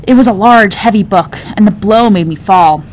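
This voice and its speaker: A woman reading a classic novel aloud in an expressive storybook narration style. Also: moderate pace. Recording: dull recording with low rumbling noise.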